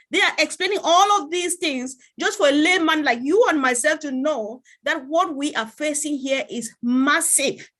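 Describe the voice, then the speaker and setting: A woman's voice: sits high, a bit bright. A woman from Africa hosting a panel chat, speaking to viewers in an encouraging, preacher-like way. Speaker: host.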